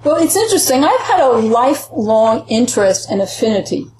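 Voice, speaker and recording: A woman from Puerto Rico answering interview questions earnestly, often pausing and restarting as she searches for words. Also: fairly high pitch, very animated, a little mellow, reverberant, a little hiss or hum, low-quality recording. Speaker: interview guest.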